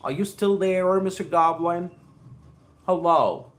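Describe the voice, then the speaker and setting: A man's voice: high voice, slow pace. A man with a South Indian accent teaching English on a live stream, reading aloud and addressing viewers in an effusive, formal way, repeating his phrases. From Andhra Pradesh, India. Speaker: spoken English trainer hosting a live stream.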